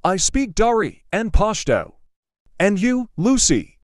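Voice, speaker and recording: A man's voice: sits high, very animated, slow, no room sound, studio quality. A man reading a simple English dialogue for learners, carefully enunciated and repeating each line. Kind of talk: slow scripted dialogue reading.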